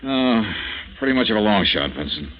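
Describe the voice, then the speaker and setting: An actor playing a brisk, wry, hard-boiled American investigator in a 1950s radio drama. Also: male voice, lowish voice, big pitch swings. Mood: businesslike. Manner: dry, punchy, wry. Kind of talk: 1950s radio drama acting, first-person narration. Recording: dull vintage 1950s radio, music behind.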